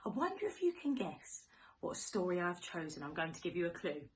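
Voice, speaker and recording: A woman teacher reading a picture book to young children in an expressive sing-song way, with questions, gasps and hushed asides. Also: fairly low pitch, a little fast, a little hiss or hum. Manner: animated, questioning, dramatic gasps and hushed near-whispers.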